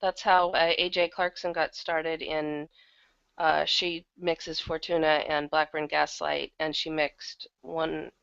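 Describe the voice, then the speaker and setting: Female interview guest, conversational. Gravelly fry, dry and slightly dull recording. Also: sits low, level tone, takes it slowly, fairly bright tone, no echo. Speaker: guest, audio drama producer. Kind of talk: casual interview talk.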